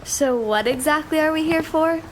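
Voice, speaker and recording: An actress playing an earnest, literal-minded young alien visitor in an audio drama, precise and bluntly puzzled. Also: female voice, high voice, moderate pace, noisy background, natural voice. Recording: loud music under the voice.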